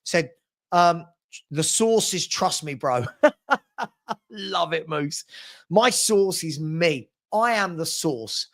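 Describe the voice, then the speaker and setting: A man's voice: on the high side, animated, drawn-out words, long pauses, a bit bright. A man in his forties hosting a casual football fan livestream, chatting matily with viewers and often repeating words as he thinks aloud. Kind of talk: casual live chat reading and answering viewer comments.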